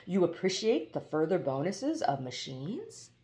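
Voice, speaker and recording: An emphatic, talkative mechanical bird from a storybook, acted out by a woman. The delivery swings widely in pitch, brisk and lecturing, with a stutter on repeated words. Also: sing-song, warm tone. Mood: proud, emphatic. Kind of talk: storybook character voice.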